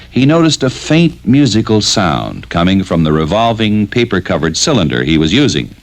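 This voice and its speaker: A man reading scripted educational narration as a formal, measured mid-century radio announcer. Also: on the low side.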